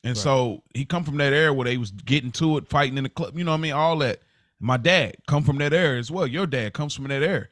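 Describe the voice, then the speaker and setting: A man's voice: medium pitch, fast talker, a bit bright, no room sound, clean studio sound. A man speaking as a podcast co-host in casual, reflective conversation, earnest and conversational in his delivery.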